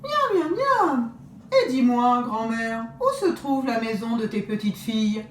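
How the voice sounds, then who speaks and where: A woman voicing a greedy, sly big grey wolf who smacks his lips and wheedles in a high voice. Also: lively intonation, measured pace, slight background noise. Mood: greedy wheedling. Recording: roomy echo.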